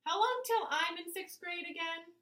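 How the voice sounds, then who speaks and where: A woman voicing a whiny, excitable little brother in a children's story. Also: very high-pitched, normal speed, roomy sound, recorded from a distance, pitched above the performer's own voice. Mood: whining.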